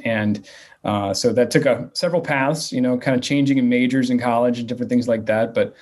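Guy in his 30s from virginia way, lots of uh and um, keeps restarting, average pitch. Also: steady tone, fairly quick, darkish tone. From Virginia / West Virginia area. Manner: hesitant, many fillers and restarts. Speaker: interviewee, nonprofit leader. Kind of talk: casual interview answers.

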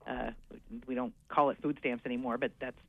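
A man's voice: mid-range voice, little pitch movement, brisk, creaky, no room sound. A man being interviewed on radio, explaining in a hesitant, earnest way with many fillers and restarts. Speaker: guest coordinating aging services. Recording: dull lo-fi radio sound.

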